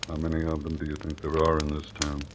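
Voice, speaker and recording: A man's voice: very deep voice, completely flat delivery, quick pace. An actor playing a hard-boiled, cynical small-town newspaperman with a grudge in old-time radio drama style. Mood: warning, curt. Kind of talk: 1940s-50s radio drama acting. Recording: noisy, crackling old radio recording.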